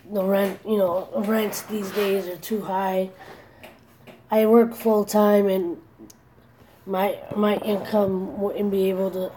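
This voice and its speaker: A woman's voice: medium pitch, dark tone, slight background noise. A woman in her forties talking candidly in a personal vlog, earnest and worried, hesitating often with restarts and fillers. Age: 40s. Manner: hesitant, many restarts and "you know". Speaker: vlogger.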